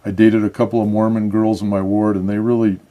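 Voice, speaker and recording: An older man from Michigan, a guest telling his story in a measured, reflective way. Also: fairly low pitch, level tone, dark tone. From Michigan, USA. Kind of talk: casual interview chat.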